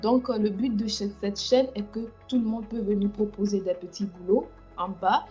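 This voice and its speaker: A woman's voice: medium pitch, fairly even intonation, moderate pace, warm tone, dull audio, soft background music. A woman hosting a casual livestream chat, speaking hesitantly with many fillers and restarts. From France. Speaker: livestream host.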